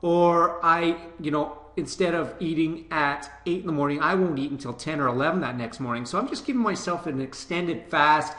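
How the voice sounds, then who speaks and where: Bright-voiced Canadian trainer with a cold. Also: male voice, a little high, reverberant. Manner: direct, coaching, frequent "okay?" check-ins. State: nasal from a cold, sniffling. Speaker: personal trainer. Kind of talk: casual talk to camera.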